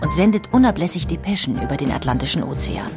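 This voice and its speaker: A woman's voice: deep voice, animated, fast talker. A woman reading scripted dramatic narration. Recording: music under the voice.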